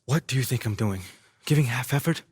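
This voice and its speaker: A man playing a frustrated young rookie basketball player in a video game scene, with a husky, conversational, defensive delivery. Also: medium pitch, brisk, no room sound, natural voice. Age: young adult. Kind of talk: naturalistic video game cutscene acting.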